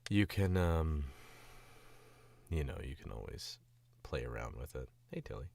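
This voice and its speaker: Sick southern guy streaming, super deep gravelly voice, rambles and chuckles. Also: animated, measured pace, a little mellow, no echo. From Southern United States. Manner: rambling and distracted, chuckling often. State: sick, voice dropped lower. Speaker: voice actor streamer. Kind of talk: casual stream chat.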